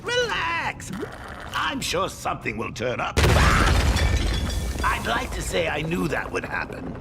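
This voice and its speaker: A man's voice: high voice, wildly swooping pitch, slow, creaky, loud music behind the voice, exaggerated voice. A man playing a gleeful, maniacal clown supervillain in a video game cutscene, theatrical and cackling in delivery. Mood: smug, playful.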